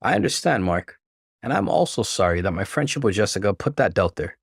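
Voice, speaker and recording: A loyal, indignant young wife from a narrated story, read by a man in his low register. The delivery is earnest and emotional, with lively, emphatic swings in pitch. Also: fairly quick, creaky, slightly rough, no echo, studio quality, exaggerated voice. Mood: earnest, pleading.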